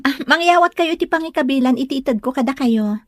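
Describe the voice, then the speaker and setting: A woman's voice: high voice, fast, no room sound, clean studio sound, lightly acted. A woman with a Filipino accent playing a polite, modest younger village woman in an audio drama. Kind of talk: Ilocano audio drama acting.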